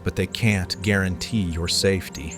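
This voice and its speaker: An actor voices a concerned young man in a dramatic audio play. His voice is low and earnest, with a gentle, pleading manner and faint music behind it. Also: fairly even intonation, moderate pace. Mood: worried.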